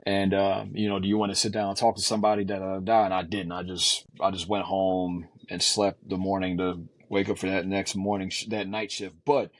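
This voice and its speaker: Earnest low-voiced man in his forties. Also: flat delivery, no echo. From Houston, Texas. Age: 40s. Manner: earnest, measured, with frequent fillers. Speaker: physician guest. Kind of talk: reflective conversational storytelling.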